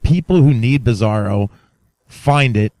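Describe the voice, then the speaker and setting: A man's voice: medium pitch, unhurried, darkish tone, no room sound. A man hosting a podcast in casual, enthusiastic conversation, repeating himself for emphasis. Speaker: podcast host. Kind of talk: casual interview talk.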